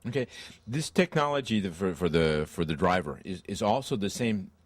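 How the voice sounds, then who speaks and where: A middle-aged man conducts an interview on a handheld mic. His voice is slightly low, and the sound is dry with very little room echo. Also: expressive, normal speed. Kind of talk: trade show interview. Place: indoor trade show room. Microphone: handheld microphone.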